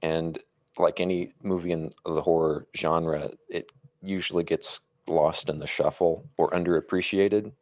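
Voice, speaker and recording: A man with a very low voice, recorded dry and close, talks through movies as a podcast panelist. He is measured and thoughtful, often pausing to find his next phrase. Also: steady tone, a little mellow, no echo. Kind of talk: casual film discussion.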